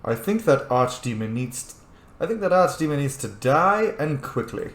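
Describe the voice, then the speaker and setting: A man's voice: medium pitch, big pitch swings, normal speed, roomy sound. A man giving casual, informal playthrough commentary while role-playing a video game, reacting and swearing freely.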